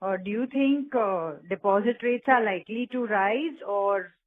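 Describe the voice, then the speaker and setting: A woman with an Indian accent puts questions on a conference call in a medium-pitched voice. Her phrasing is halting and tentative, with many fillers. The sound is narrow, like a telephone. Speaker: analyst asking questions. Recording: muffled narrow phone line.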